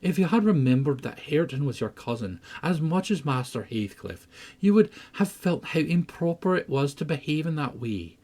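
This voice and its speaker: A man's voice: on the high side, expressive, normal speed, darkish tone. A man voicing an earnest, motherly housekeeper, reproachful and moralizing, in a storybook reading. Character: earnest, motherly housekeeper scolding her young mistress.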